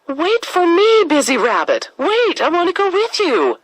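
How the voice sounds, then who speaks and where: A woman's voice: extremely high pitch, wildly swooping pitch, unhurried, no echo, pitched above the performer's own voice, exaggerated voice. A woman voicing a curious, eager and polite little girl in a children's storybook. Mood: pleading.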